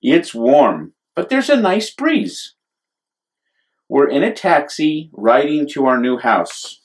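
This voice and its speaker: A man's voice: a little high, big pitch swings, slow. A man with an American accent voicing an earnest, imaginative young boy in a picture book story. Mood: excited wonder. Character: wide-eyed, imaginative young boy moving out west.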